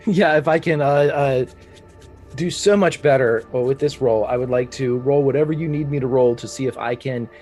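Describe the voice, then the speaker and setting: A man's voice: medium pitch, normal speed, a little mellow. Playful male tabletop player over music. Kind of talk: casual game chat, narrating his character's actions.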